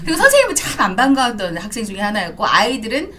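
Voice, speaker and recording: A woman in her thirties, a radio DJ, talking in an animated, story-telling way during an interview, laughing often. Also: fairly high pitch, rapid-fire, slight background noise. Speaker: radio DJ guest. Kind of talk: casual interview talk.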